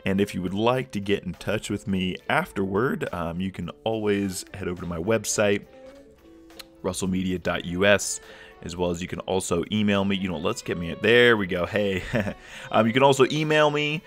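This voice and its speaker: A man's voice: sits low, normal speed, faint music behind. A man hosting a livestream, talking casually with many fillers and restarts, a little sniffly from a cold. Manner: rambling, filler-heavy, restarts often. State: slightly congested from a cold. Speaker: livestream host.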